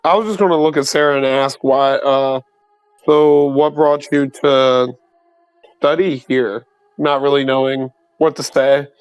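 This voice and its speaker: A man's voice: mid-range voice, fairly even intonation, measured pace, clear, resonant tone, no echo, faint music behind, low-quality recording. A man playing a tabletop game, chatting casually. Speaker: player.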